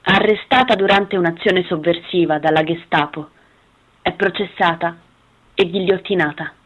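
A woman's voice: on the low side, normal speed. A woman acting as interviewer, delivering short scripted questions and lines. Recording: slightly dull, lo-fi sound.

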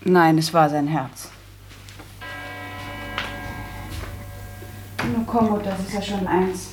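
An actress playing a self-assured, practical daughter in a German radio drama, speaking in a brisk, matter-of-fact, managing way. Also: female voice, mid-range voice, heavy background noise, close to an everyday voice. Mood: assured, decisive.

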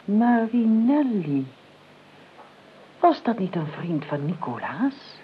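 Sardonic older aunt, tinny old recording. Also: female voice, medium pitch, very animated. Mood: probing. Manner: measured, dry, drawing out key words. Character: sardonic, calculating older aunt, sharp-tongued and imperious. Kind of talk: Dutch radio play acting. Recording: tinny, band-limited old radio recording, clear noise.

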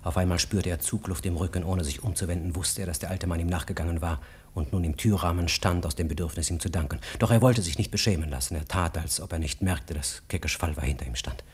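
Deep-voiced, sombre male narrator. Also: fast talker, a little mellow, slightly rough. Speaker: radio drama narrator. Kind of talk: literary scripted narration.

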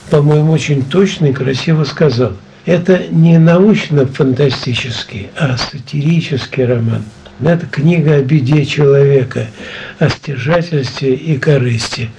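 Audible noise and clicks sit behind an older man presenting on radio. His voice is a little high, and he introduces a drama reflectively. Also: creaky. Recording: noisy recording with clicks.

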